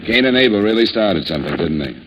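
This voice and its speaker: A man's voice: lowish voice, expressive, quick pace, heavy background noise, lightly acted. An actor playing a wry, hard-boiled male insurance investigator with dry, sardonic delivery in a 1950s radio drama. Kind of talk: 1950s radio drama, first-person noir narration. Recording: band-limited old radio recording with music.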